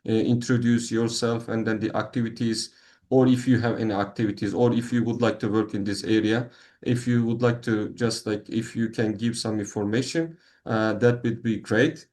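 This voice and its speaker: A man's voice: lowish voice, fairly even intonation, moderate pace, darkish tone. A man hosting an online meeting, speaking English as a with many fillers and restarts. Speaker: meeting host. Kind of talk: online meeting, casual moderating.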